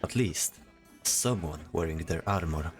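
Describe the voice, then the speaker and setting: Lowish-voiced man narrating fantasy drama. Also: a little slow, faint music behind. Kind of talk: scripted fantasy story narration.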